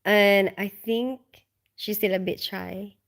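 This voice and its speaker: A woman in the middle of her vocal range, hosting a relaxed live stream from home late at night. She reads out viewers' comments and answers them in a loose, chatty way, with ums and soft laughter along the way. Her voice sounds tired and drowsy. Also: medium pitch, slow pace, creaky. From Philippines. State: tired, getting sleepy. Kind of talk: casual live-stream chat.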